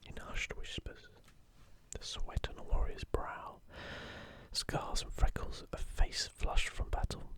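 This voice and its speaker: A video host speaking in a soft, unvoiced ASMR whisper, unhurried, hushed and informal. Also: very high-pitched, poor audio. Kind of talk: whispered ASMR, leafing through and commenting on an art book.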